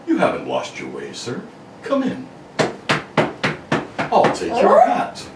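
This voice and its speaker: A man's voice: high voice, wildly swooping pitch, heavily acted. A man voicing a polite, formal butler guarding a secret house in an amateur read of a radio serial. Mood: courteous, formal. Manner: formal, deliberate servant-like speech. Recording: echoey room, distant mic, faint noise.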